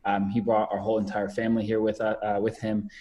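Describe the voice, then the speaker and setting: A man's voice: on the low side, monotone, quick pace, mellow. A man in his twenties, a podcast guest talking casually over a video call, rambling with many fillers and repeated words. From Canada. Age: 20s.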